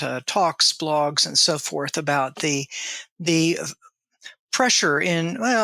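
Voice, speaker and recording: Podcast host, woman, bright forward tone, winding questions, dry close sound. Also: deep voice, dry recording. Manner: long winding questions with many fillers. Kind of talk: interview podcast.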